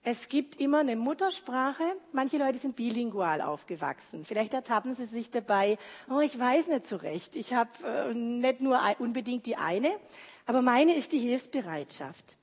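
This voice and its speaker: A woman's voice: fairly high pitch, animated, normal speed, husky. A woman preaching a sermon in a conversational, explaining way, mixing in personal anecdotes. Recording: reverberant hall.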